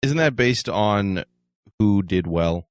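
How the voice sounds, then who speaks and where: A man's voice: lowish voice, lively intonation, takes it slowly, no echo, studio quality. A man chatting casually as the co-host of a talk show. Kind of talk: casual chat.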